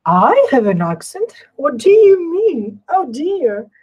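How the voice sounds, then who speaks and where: A woman's voice: sits high, wildly swooping pitch, slow pace, darkish tone. A woman with a Greek accent hosting a casual live craft demonstration, repeating and rephrasing herself as she explains and chats. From Greece. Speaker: craft tutorial host.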